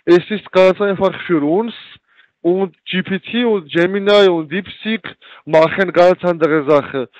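A man's voice: high-pitched, a little slow, dry recording, muffled recording. Flustered call center man. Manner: flustered, keeps asking to repeat, apologetic. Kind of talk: phone call.

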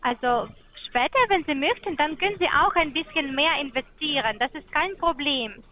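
A woman in her forties works through a scripted sales call in a formal, slightly awkward way. Her voice is high. The phone line sounds dull and muffled. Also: lively intonation, normal speed. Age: 40s. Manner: formal, scripted, with some slightly ungrammatical phrasing.

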